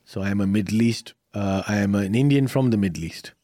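Joking man, low voice, dry sound. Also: warm tone, no room sound. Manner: joking, quick comebacks. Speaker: guest comedian. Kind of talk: casual podcast chat.

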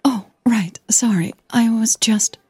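A woman plays a shy young woman in an audio drama, a little high in pitch. She sounds distracted and softly apologetic, hesitating as she speaks. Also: takes it slowly, breathy edge, dry recording, clean studio sound, heavily acted.

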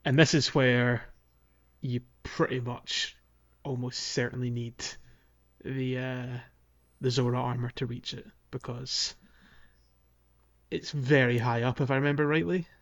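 A man's voice: mid-range voice, steady tone, a little slow, highs cut off. A man co-hosting a podcast, telling things in a hesitant, informal way as he talks through a video game. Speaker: podcast co-host. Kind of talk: casual video game playthrough chat.

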